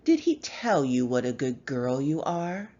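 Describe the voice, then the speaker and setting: A woman's voice: rumbling low, sing-song, measured pace, mellow, muffled recording, full character voice. A woman voicing a cruel, scornful mirror spirit that taunts its victim in a horror story. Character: cruel, mocking female voice from a haunted mirror.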